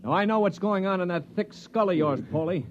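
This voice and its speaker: Man as cynical high-voiced radio-drama hero. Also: fairly quick, slight background noise, lightly acted. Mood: sardonic, commanding. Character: cynical, blunt Earthman prisoner and narrator, Mister Stewart. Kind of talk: 1950s radio drama acting and narration. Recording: muffled 1950s radio recording.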